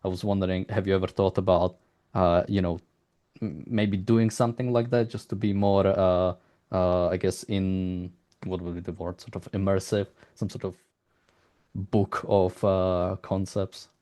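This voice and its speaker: Low-voiced man, halting interviewer. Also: fairly even intonation, warm tone. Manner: halting, rambling, repeats words while searching for phrasing. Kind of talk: casual interview questioning.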